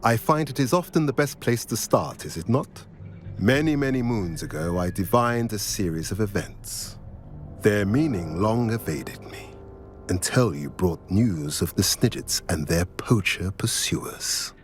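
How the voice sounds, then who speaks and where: A cryptic old centaur seer speaks slowly and solemnly in a video game. His low voice rises and falls widely as he unfolds prophecy. Soft game music plays underneath. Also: expressive. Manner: slow, measured, solemn and riddling. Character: wise old male centaur stargazer, calm and cryptic.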